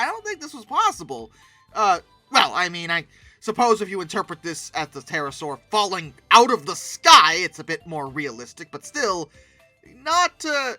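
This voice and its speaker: Animated, bright, forceful online commentator. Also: medium pitch, no echo. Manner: expressive, exclamatory, emphatic. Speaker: host of a paleoart commentary channel. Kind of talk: casual reaction commentary.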